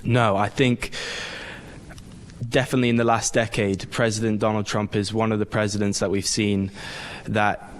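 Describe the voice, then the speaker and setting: A young man from the Isle of Man, a student guest on radio, giving measured, confident opinions. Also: on the low side, flat delivery. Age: teens.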